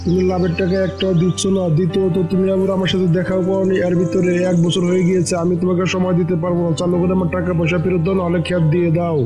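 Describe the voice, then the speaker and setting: A man's voice: high voice, completely flat delivery, racing, hardly any pauses, lightly acted. A man playing a stern, greedy bird moneylender in a cartoon, demanding and threatening. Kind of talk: cartoon character dialogue. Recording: music behind the voice.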